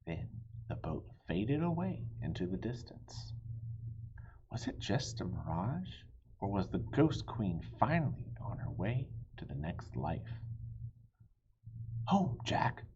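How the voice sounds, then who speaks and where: A man reading a children's story aloud as an expressive audiobook narrator. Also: low-pitched, measured pace, slight background noise. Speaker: audiobook reader. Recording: dull, lo-fi audio.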